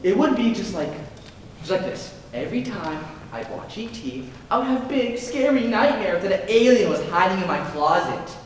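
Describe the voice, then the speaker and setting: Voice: medium pitch, big pitch swings, moderate pace, slight background noise, lightly acted. A young performer playing a scornful teenage student who rants and scoffs, heard on stage from far off in an echoey hall. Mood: ranting. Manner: scoffing, ranting.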